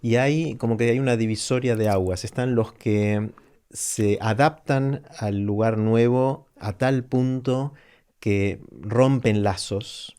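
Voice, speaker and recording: A man's voice: medium pitch, slow, mellow, smooth. A man hosting an interview, asking curious, attentive questions. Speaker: interview host. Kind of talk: conversational interview.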